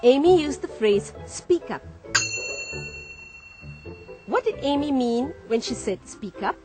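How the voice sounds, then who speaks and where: A woman's voice: high-pitched, lively intonation, slow, music in the background. A woman presenting a scripted educational TV lesson, explaining phrases with emphatic, teacher-like questions.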